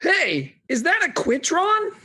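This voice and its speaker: A woman's voice: very high-pitched, huge pitch swings, slow, pushed and bright, heavily acted. A woman voicing an upbeat, laid-back slacker pal with slangy, drawling dude talk in a comic radio drama. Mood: surprised.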